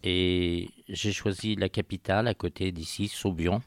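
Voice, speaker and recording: An older man with a slight Parisian accent, a guest in a radio studio, telling his story in a soft-spoken, unhurried way. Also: low-pitched, fairly even intonation, noisy background. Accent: slight Parisian French. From Paris, France. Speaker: radio guest, heritage association president. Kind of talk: casual radio interview.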